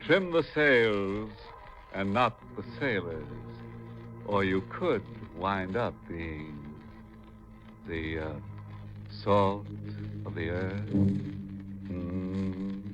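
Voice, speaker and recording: Ghoulish horror host: low, very slow, sardonic, gleefully macabre, vintage radio show with music. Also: male voice, fairly bright tone, highs cut off, exaggerated voice. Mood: mocking glee. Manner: sardonic, drawn-out, punning delivery. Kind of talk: 1950s radio horror hosting.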